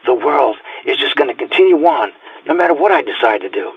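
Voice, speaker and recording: A husky-voiced, world-weary detective near retirement , played by a man, confides into his recorded journal for an audio drama. His manner is reflective and haunted. The sound is close, dry and slightly dull. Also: mid-range voice, animated, brisk, dry recording.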